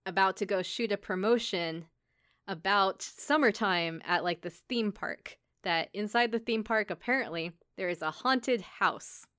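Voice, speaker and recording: A woman's voice: medium pitch, a little slow, fairly bright tone, no echo, clean studio sound. A woman podcast host giving a casual, chatty recap, speaking conversationally with lots of filler "like". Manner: conversational, peppered with "like".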